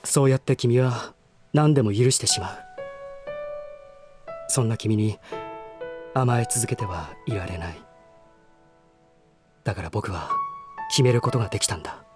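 A male actor playing a refined, sheltered young gentleman in a voice drama, speaking formally and earnestly. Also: lowish voice, unhurried, pauses often, music in the background, lightly acted. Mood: tender, resolute. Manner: formal, stiff, earnest speech. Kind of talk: Japanese voice drama acting.

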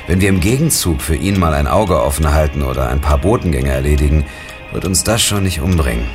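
A level-headed young flatmate in a radio play. He has a deep voice and a dry, matter-of-fact delivery. Music plays clearly behind him. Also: fairly quick. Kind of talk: German radio play acting.

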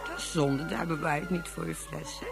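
A woman's voice: sits low, steady tone, fairly quick, gravelly, loud music behind the voice. An actress playing a drunken, anxious mother in a science-fiction radio play, rambling and clinging. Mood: agitated. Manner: rambling, repetitive, slurred and dramatic.

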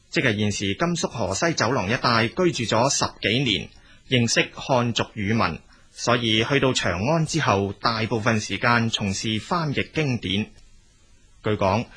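A man with a Cantonese accent reading scripted documentary narration in a measured, explanatory storytelling manner. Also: medium pitch, a bit bright, breathy edge. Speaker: narrator of a history and culture programme.

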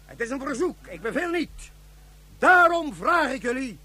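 Very high-voiced solemn ruler. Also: male voice, lively intonation, moderate pace, bright, forceful tone, no echo, heavily acted. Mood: stirring appeal. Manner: rhetorical, emphatic, repeats phrases. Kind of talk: radio drama oratory.

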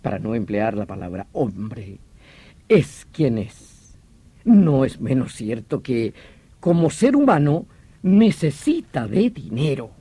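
Radio drama detective: obsessed villain-hunter, theatrical and emphatic, mellow tone, very animated pitch, dry close sound. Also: male voice, slow pace, slightly rough, no room sound, exaggerated voice. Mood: emphatic, insistent. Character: vehement veteran police detective obsessed with hunting a vampire villain.

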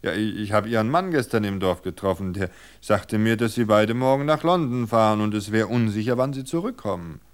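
A man's voice: fairly low pitch, animated, a little fast, hardly any pauses, clear, resonant tone, lightly acted. A man voicing an old village gardener, chatty and easygoing, with a folksy, opinionated, old-fashioned way of grumbling. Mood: puzzled, easygoing. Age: older.

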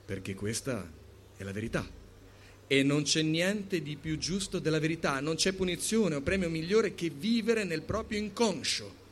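A man voicing the inner unconscious of a character in a dramatic reading, calm, cold and ominous, speaking slowly and solemnly. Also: a little high, close to an everyday voice. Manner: slow, solemn, measured. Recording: clear background noise.

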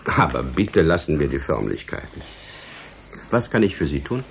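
A man's voice: extremely low pitch, big pitch swings, normal speed, creaky, a little hiss or hum, heavily acted. A man playing a courteous, evasive middle-aged department head in a radio drama, explaining things in a polite, officious way.

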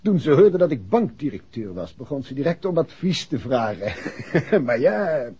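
A man's voice: mid-range voice, very animated, normal speed, mellow, slightly rough. An actor playing a genial, obliging bank manager in a 1960s radio drama, chatty and hesitant, repeating himself as he thinks. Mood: genial, musing. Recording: dull, muffled 1960s radio recording.

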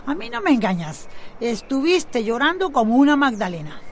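A kindly older neighbour gives frank counsel in a radio drama. She has a Costa Rican accent and a high, very melodic voice over faint ambience. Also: big pitch swings, normal speed, slight background noise. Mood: frank, encouraging.

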